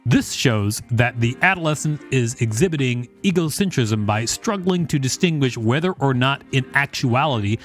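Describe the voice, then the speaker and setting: A man's voice: mid-range voice, expressive, moderate pace, hardly any pauses, soft background music. A man hosting a podcast, reading an encyclopedia article aloud. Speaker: podcast host.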